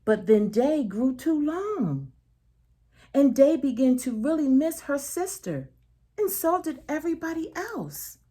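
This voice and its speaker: A woman reading a children's picture book aloud as a storyteller, expressive and deliberate, with emphatic phrasing and soft asides to the listeners. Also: high voice.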